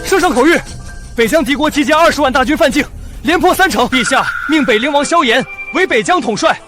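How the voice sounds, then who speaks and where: An actor playing a formal military official making proclamations in a Chinese costume drama. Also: male voice, extremely high pitch, expressive, a little fast. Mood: solemn. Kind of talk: costume short-drama acting. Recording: loud background music over dialogue.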